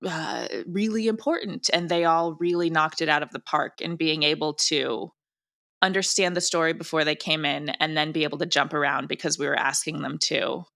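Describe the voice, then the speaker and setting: A woman's voice: low voice, steady tone, moderate pace, vocal fry, bright, forceful tone. A talkative woman chatting casually and emphatically as a director being interviewed. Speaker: audio drama director, interview guest.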